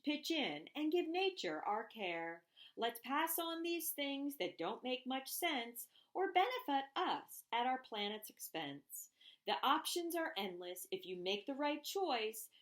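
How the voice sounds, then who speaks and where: High-pitched woman reading aloud, very animated. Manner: expressive, deliberate storybook delivery with emphasised phrases. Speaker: school principal reading aloud to children. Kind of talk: children's picture book read-aloud in rhyming verse.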